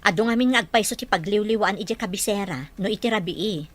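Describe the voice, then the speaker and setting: A woman's voice: mid-range voice, fast, a bit bright, close to an everyday voice. A woman playing a strict, disapproving mother in a radio drama. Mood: alarmed. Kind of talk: Filipino radio drama acting.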